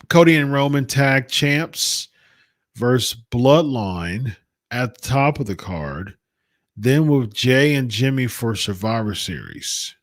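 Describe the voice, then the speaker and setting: A man's voice: medium pitch, dry recording, clean studio sound. A man from the United States hosting a wrestling talk show, reading chat comments and repeating his points in an exasperated, emphatic way. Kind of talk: casual live-chat commentary.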